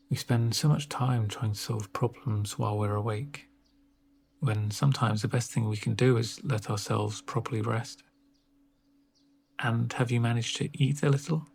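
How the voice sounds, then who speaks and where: A man speaking softly in an ASMR roleplay as a doctor, with a gentle, reassuring, unhurried manner and a breathy voice. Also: medium pitch. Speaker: ASMR performer playing a doctor. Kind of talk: soft-spoken ASMR roleplay.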